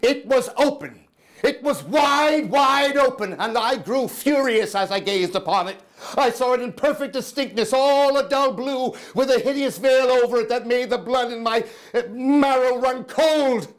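An older man playing a nervous, mad murderer in an intense, deliberate theatrical reading of gothic horror. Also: very high voice. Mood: frenzied, terrified fury. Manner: intense, deliberate, swinging from hushed to shouting. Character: mad, nervous murderer confessing with gleeful cunning. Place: indoor room in an old house, before small audience.

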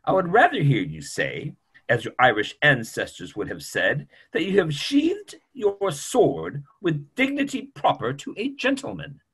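A man's voice: on the high side, wildly swooping pitch, unhurried, fairly bright tone, breathy edge, exaggerated voice. A man in his sixties in a play reading, playing a genteel old doctor who reproves in a formal, declamatory way. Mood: reproving. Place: small room, video call.

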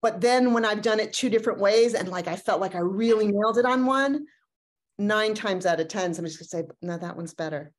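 A woman's voice: medium pitch, a little fast. A woman, a cast member chatting casually in a talkback on a video call. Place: indoors at home, on a video call.

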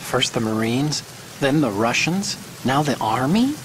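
A man's voice: mid-range voice, big pitch swings, unhurried, noisy background. An actor playing an earnest, worried young male tech support partner in a dramatic video game. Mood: serious, concerned. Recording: music and rain behind.

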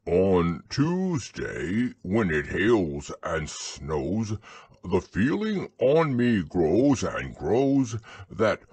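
A man voicing a simple, kindly storybook bear who talks in a hesitant, ponderous, drawn-out way. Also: low-pitched, huge pitch swings, darkish tone, slightly rough, dry recording, full character voice. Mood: earnest reciting. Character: slow, simple, kindly bear of little brain.

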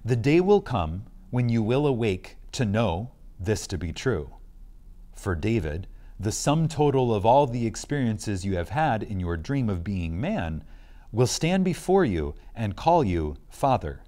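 A man giving a reverent, scripted reading of a spiritual lecture, solemn and emphatic, with deliberate pauses. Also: fairly low pitch, sing-song, dark tone.

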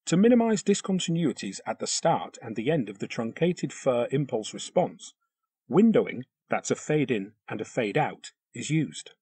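A man delivers scripted software tutorial narration with a lively, varied pitch. His voice is a little husky, and he explains each step precisely. The sound is close and very dry. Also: normal speed, no echo.

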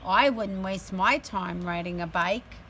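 Slow, skeptical storybook farm animal read by a woman. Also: medium pitch, a bit bright, lightly acted. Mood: dry, judging. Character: skeptical farm animals privately judging a duck. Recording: some background noise, outdoor ambience.

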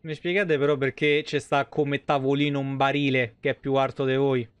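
A man's voice: medium pitch, flat delivery, moderate pace, clear, resonant tone, dry recording, muffled recording. A man, giving casual, colloquial, joking live game commentary. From Italy. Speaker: game streamer. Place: small indoor streaming room.

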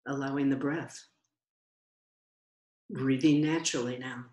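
A woman meditation teacher giving guided meditation instruction in a gentle, unhurried way with long pauses. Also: extremely low pitch, steady tone. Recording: roomy, echoing sound.

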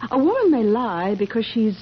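Probing doctor, very animated pitch. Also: female voice. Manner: measured, questioning. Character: probing, matter-of-fact female doctor. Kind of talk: 1970s radio drama acting. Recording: dull, noisy 1970s radio recording.

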